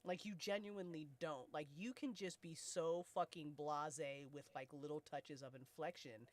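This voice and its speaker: A woman chatting casually and excitably as a host, slangy and swearing freely. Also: deep voice, moderate pace. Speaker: director and host of a fiction podcast cast discussion. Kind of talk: casual chat.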